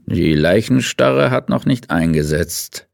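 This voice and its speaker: A man voicing a weary, laid-back male forensic pathologist who muses out loud in a relaxed way. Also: deep voice, slow, vocal fry, dry recording, studio quality, exaggerated voice. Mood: musing.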